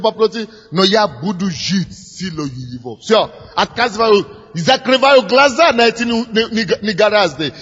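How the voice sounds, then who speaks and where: A man's voice: extremely high pitch, fairly bright tone. A man preaching. He grows fervent, repeats his phrases and leads the people in prayer. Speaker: pastor. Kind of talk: sermon leading prayer. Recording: dull lo-fi recording in a reverberant hall with music.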